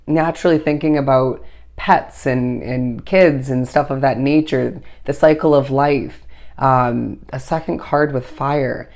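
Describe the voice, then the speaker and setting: A tarot reader with a hoarse voice that is still recovering, giving a meandering, intuitive solo reading and speaking straight to the listener. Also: mid-range voice, a little mellow. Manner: meandering, intuitive delivery full of "I do feel as if"; reassuring, addresses the listener directly.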